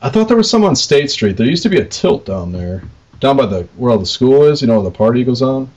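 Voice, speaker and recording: A man's voice: mid-range voice, big pitch swings, fast talker, a little mellow, dull audio. An American man co-hosting a podcast in casual chat, rambling along in a jokey, self-deprecating way. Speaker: podcast co-host.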